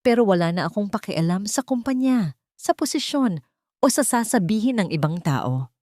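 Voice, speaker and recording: A woman's voice: medium pitch, lively intonation, moderate pace, a little mellow, no room sound, studio quality, pitched above the performer's own voice, lightly acted. A woman voicing a lovestruck male CEO in a romance audio drama, pleading earnestly and emotionally. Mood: fearful confession.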